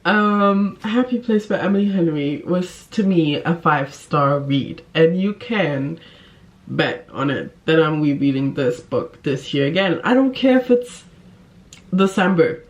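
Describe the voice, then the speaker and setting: A woman's voice: sits low, animated, measured pace. Informal, effusive woman book vlogger. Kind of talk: casual chat to camera.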